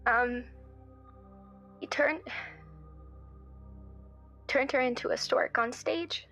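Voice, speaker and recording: A woman's voice: high-pitched, very slow, sharp tone, soft background music, exaggerated voice. A woman playing an anxious, apologetic theatre organiser in a radio drama, speaking carefully and hesitantly. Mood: grave, uneasy. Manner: hesitant, careful, politely nervous. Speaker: radio show guest. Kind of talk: improvised actual-play radio show drama.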